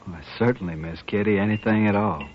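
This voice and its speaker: An actor playing a courteous, reserved riverboat musician in a 1950s radio western, on a muffled old recording. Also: male voice, on the low side, brisk, natural voice. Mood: polite, reserved. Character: courteous, reserved, drifting riverboat guitar player.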